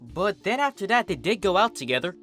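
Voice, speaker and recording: A man's voice: sits high, very animated, a little fast, pushed and bright, soft background music, pitched above the performer's own voice, full character voice. A man voicing a blunt young female country pony from a cartoon fan story, folksy and suspicious. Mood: matter-of-fact.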